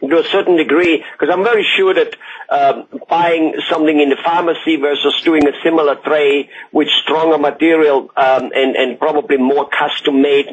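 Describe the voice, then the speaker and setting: Man, Austrian accent, native German speaker, interviewer, wordy rambling delivery, dull noisy recording. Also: on the high side. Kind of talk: conversational interview. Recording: muffled audio with loud background noise.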